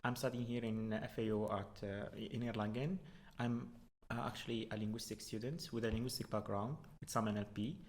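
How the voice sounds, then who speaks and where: A young man from Morocco with a Moroccan accent and Arabic as his first language speaks as a student guest. His voice sits fairly low. He explains things informally and haltingly, often repeating words. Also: fairly even intonation.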